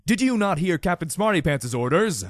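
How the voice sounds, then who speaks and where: Storybook role: self-important young girl general, played by a man, highly animated, overdramatic orders, dry close sound. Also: moderate pace, dry recording. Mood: barking, indignant. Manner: self-important, overly dramatic. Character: bossy, pompous young girl pony playing army general. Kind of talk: overly dramatic storybook reading.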